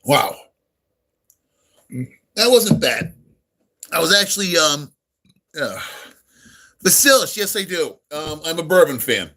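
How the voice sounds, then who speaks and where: A man's voice: a little high, sing-song, slow, long pauses, sharp tone. A middle-aged man from the United States, near New York, chatting casually on a live stream, greeting viewers and answering their messages in a friendly, rambling way full of ums and uhs. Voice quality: full radio-style voice.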